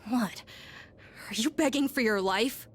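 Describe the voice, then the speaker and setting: A woman's voice: on the high side, a little slow. A woman voicing an earnest, wounded young boy bent on revenge in a video game, intense and emotional. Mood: defiant, furious. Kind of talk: English video game voice acting. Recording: music under the voice.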